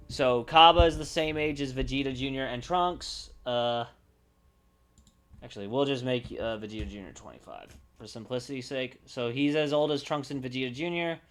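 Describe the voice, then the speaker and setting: A man livestreaming casually, thinking aloud in a halting, unscripted way as he works through ideas. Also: mid-range voice, fairly bright tone, smooth. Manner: halting, pausing to think and correct himself. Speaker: livestreamer brainstorming a roleplaying campaign.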